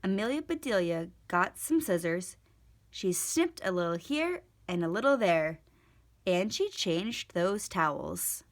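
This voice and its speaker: Expressive woman reading a children's story. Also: fairly low pitch, measured pace. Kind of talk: scripted storybook read-aloud for kids.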